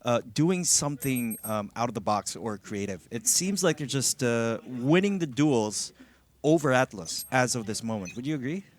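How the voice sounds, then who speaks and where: A man with a Filipino accent giving analytical live esports commentary as a shoutcaster, talking conversationally with a co-caster. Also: medium pitch, lively intonation, moderate pace.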